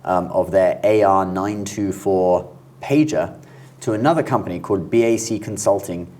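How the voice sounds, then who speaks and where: Low-voiced man explaining the news informally. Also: moderate pace, mellow. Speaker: show co-host. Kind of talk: conversational news discussion.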